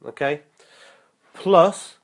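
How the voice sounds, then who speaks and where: A young man vlogging about fitness, his voice a little nasal from a cold and his throat sore, upbeat and motivational, with many ums and uhs, slowing down to spell out figures. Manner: slow and careful when reading out numbers. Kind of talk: casual vlog talk and explainer.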